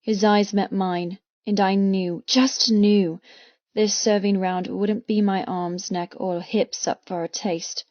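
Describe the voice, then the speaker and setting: A female audiobook narrator with a medium-pitched voice reads first-person fiction expressively and intensely. The recording is very dry and slightly muffled, with no room around the voice. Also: fairly even intonation, takes it slowly, a little mellow, no room sound. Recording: slightly muffled, dry close studio sound.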